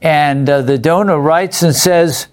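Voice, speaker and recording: A man's voice: on the high side, unhurried. A man hosting a religious study program, reading out viewer letters and putting questions to his group and viewers.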